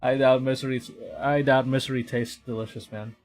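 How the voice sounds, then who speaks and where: A man's voice: mid-range voice, flat delivery, fairly quick, a little mellow. A man from the Philippines streaming a game, reacting wryly with stammers and reading the text aloud. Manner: hesitant, stammering, wry reactions. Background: game music.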